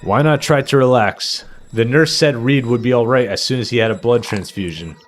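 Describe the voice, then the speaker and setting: A man playing a practical house seller who urges calm, with emphatic old-fashioned delivery in an amateur radio play. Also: mid-range voice, moderate pace, faint music behind, close to an everyday voice. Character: practical male house-selling partner, urging calm.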